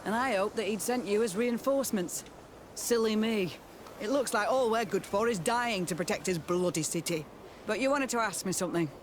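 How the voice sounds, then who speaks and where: A man's voice: high voice, moderate pace, background noise, lightly acted. A man playing a weary, embittered military captain in a video game cutscene, blunt and sardonic, loyal to his soldiers. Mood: bitter, exasperated.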